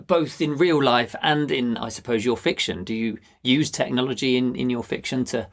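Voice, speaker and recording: A man's voice: medium pitch, moderate pace. A man hosting a conversational interview, musing as he works his way toward questions. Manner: musing, tentative phrasing with tag questions. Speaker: podcast host.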